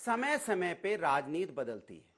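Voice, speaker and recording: A man's voice: fairly high pitch, sing-song, a little slow, a crowd in the background, noisy background. A man arguing insistently as a party spokesman in a political TV debate, often repeating his phrases.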